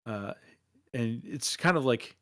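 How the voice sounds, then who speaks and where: A man's voice: mid-range voice, expressive, normal speed, no echo. Man narrating casually, dry close sound. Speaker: tabletop game player. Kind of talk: casual descriptive narration of his character.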